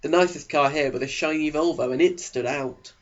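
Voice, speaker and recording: Male narrator for spoof audiobook: fairly high voice, sarcastic, overplayed delivery, slightly dull lo-fi sound. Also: a little fast, a bit bright.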